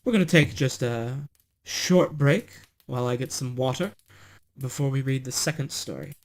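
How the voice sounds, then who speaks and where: A man's voice: mid-range voice, normal speed. A man narrating a bedtime fairy tale as a storyteller. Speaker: storyteller, streamer.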